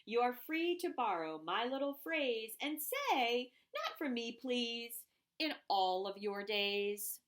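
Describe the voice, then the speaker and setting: A woman reading a rhyming children's picture book aloud, expressively and deliberately, with emphasised phrases. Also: a little high, expressive.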